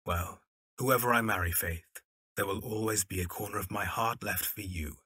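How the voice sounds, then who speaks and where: Man acting reserved young gentleman, bright low voice. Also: normal speed, husky, no echo, studio quality. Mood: fond, informative. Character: reserved, courteous young male musician, affectionate brother. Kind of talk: period radio drama acting.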